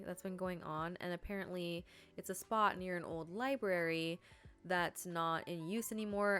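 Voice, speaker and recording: A woman hosting a game playthrough in a chatty, rambling way, her nose stuffed up from allergies. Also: fairly low pitch. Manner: chatty and rambling, with many fillers and self-corrections. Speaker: gaming video host. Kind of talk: casual let's-play commentary.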